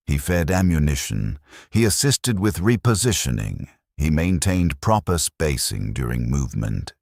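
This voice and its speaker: A man reading a scripted first-person war story in a detached, clinical and measured manner. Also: a bass voice, no echo, studio quality. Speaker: narrator of a science fiction war story.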